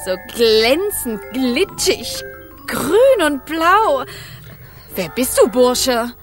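A woman's voice: high voice, big pitch swings, extremely slow, faint music behind, exaggerated voice. A woman voicing an eager, defiant young fire sprite in a children's radio play, exclaiming and commanding in a sing-song manner. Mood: disgusted.

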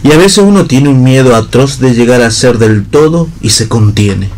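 An actor playing a humble, idealistic, hesitant vagabond in a theatrical radio reading. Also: male voice, medium pitch, animated, normal speed, a little mellow, natural voice. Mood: earnest. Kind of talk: read-theatre stage acting on radio.

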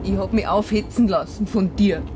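An actress playing a young, remorseful and defiant daughter speaking Bavarian dialect in a radio drama. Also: female voice, medium pitch, normal speed, noisy background, close to an everyday voice. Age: young adult.

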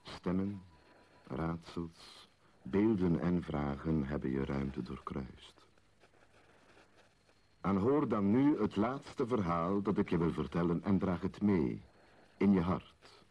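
Slow, husky, mysterious male storyteller. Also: low voice, lively intonation, darkish tone, exaggerated voice. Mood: mystical, solemn. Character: mysterious solemn male storyteller addressing the listener intimately. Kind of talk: poetic radio-play narration.

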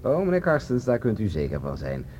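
A man's voice: fairly low pitch, wildly swooping pitch, fairly quick, darkish tone. An actor playing a smug, callous male conspirator in a radio drama, glib and mocking. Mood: smug, amused.

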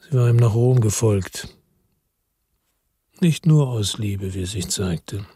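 An actor playing a world-weary police chief, narrating in a slow, measured, tired way in a radio drama. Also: male voice, lowish voice, warm tone, husky, no room sound. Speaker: first-person narrator.

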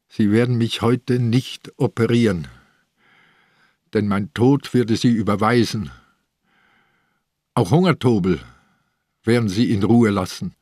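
An older man playing a stubborn, gravely ill old police inspector in a German radio crime drama, speaking tersely and firmly. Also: mid-range voice, no room sound, studio quality, lightly acted. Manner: terse, firm, matter-of-fact statements.

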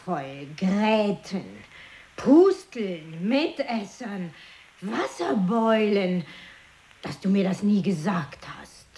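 An actress playing a bossy woman who inspects and gives orders deliberately in an experimental radio play. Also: mid-range voice, animated, dark tone, slight background noise. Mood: commanding, probing. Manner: commanding, slow, deliberate phrasing.

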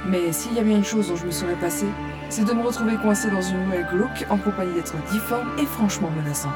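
A woman's voice: medium pitch, fast talker, close to an everyday voice. A woman acting a blunt, slangy, sardonic heroine in a French-language audio drama. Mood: wry narration. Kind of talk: audio drama acting and first-person narration. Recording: loud music bed underneath. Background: music.

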